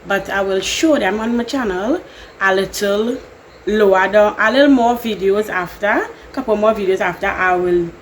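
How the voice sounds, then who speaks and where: A woman's voice: mid-range voice, normal speed, slight background noise, rough recording. A young woman from Trinidad and Tobago with a Trinidadian accent, chatting casually and rambling through a get-to-know-me Q&A video. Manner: chatty, rambling, laughs often. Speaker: YouTuber. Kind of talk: casual Q&A vlog.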